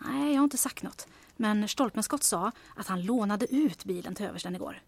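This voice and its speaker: A woman's voice: medium pitch, expressive, vocal fry, breathy edge. An actress playing a resolute, conspiratorial female resistance agent in an audio drama, briefing urgently and matter-of-factly. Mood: conspiratorial, brisk.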